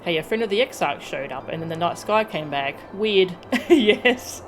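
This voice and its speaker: A woman's voice: on the low side, expressive, normal speed, slight background noise. A woman game streamer chatting casually with her viewers on a livestream. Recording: game music behind the voice. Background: video game music.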